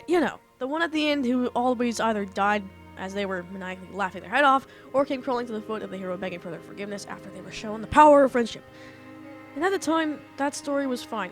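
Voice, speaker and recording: A woman's voice: a little high, animated, fast, faint music behind. A young woman, an author, explaining storytelling step by step in a casual, earnest way, her voice slightly off after being ill. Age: young adult. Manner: earnest, talks through points step by step. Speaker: author and writing teacher.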